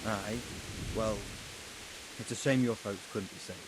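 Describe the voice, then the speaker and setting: An actor playing a plain-spoken, grumbling common castle guard in a medieval video game. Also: male voice, on the low side, a little slow, close to an everyday voice. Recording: hissy, rain and thunder behind.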